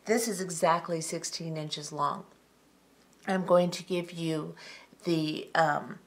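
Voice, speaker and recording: A middle-aged woman hosting a step-by-step beading tutorial, talking calmly and methodically with frequent ums. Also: low-pitched. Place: tabletop craft workspace, indoors.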